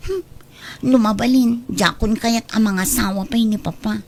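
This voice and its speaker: An actress with an Ilocano accent playing a pampered, scheming young daughter who talks in a chummy, stubborn way in a radio drama. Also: female voice, fairly high pitch, measured pace, vocal fry, darkish tone. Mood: stubborn, conspiring. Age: young adult.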